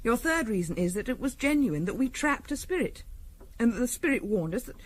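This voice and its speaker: An actress playing an anxious, tart middle-class English wife in a 1970s radio drama, given to clipped retorts. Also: female voice, fairly high pitch, very animated, a little fast. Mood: worried. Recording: faint hiss on 1970s radio recording.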